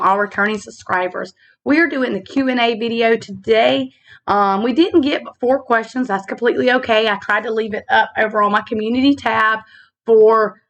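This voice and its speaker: A woman with a slightly raspy voice, hosting a casual faith vlog, talking earnestly with fillers and slow reflective stretches into a laptop mic. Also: medium pitch, a bit bright. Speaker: channel host. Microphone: laptop built-in mic.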